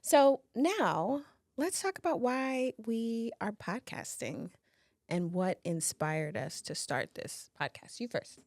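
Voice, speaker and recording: A woman co-hosting a casual podcast chat, chatty and quick to laugh. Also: a little high, expressive, a little mellow, no room sound, clean studio sound. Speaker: podcast co-host.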